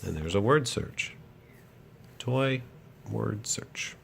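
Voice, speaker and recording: A man's voice: lowish voice, expressive, very slow, gravelly, fairly bright tone, slight background noise. A man reading a children's picture book aloud as a storyteller and host.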